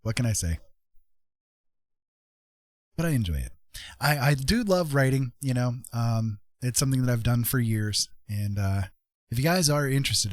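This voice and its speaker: A man's voice: on the low side, dry recording, clean studio sound. A man hosting a livestream, talking in a rambling, self-deprecating, casual way. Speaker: livestream host, author and artist.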